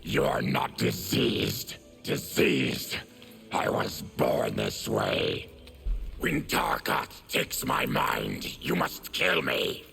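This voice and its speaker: Gravelly, slow, embittered monster warrior. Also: male voice, fairly low pitch, huge pitch swings, pushed and bright, soft background music, heavily acted. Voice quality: gravelly growl. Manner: slow, grim, weighty delivery. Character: embittered diseased monstrous male warrior, former soldier and merchant. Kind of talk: video game character dialogue.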